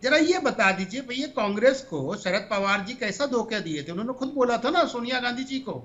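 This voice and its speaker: A man's voice: extremely high pitch, animated, brisk, fairly bright tone, muffled recording. A man in his fifties arguing combatively as a party spokesman in a heated TV panel debate. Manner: combative, talks over interruptions.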